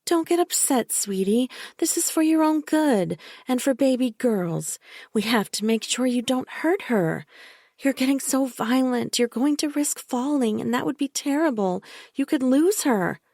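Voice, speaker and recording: A woman's voice: high voice, animated, unhurried, darkish tone, no room sound, clean studio sound, pitched above the performer's own voice, heavily acted. A woman putting on a syrupy-sweet controlling woman. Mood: cloying. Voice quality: sickly sweet, cooing.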